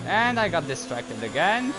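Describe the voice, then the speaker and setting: A man's voice: on the high side, big pitch swings. A man whose first language is French, casually commenting while playing a racing game, rambling and breaking into sudden outbursts. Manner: rambling, easily distracted, quick outbursts. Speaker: gaming YouTuber. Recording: gameplay audio with clear engine noise.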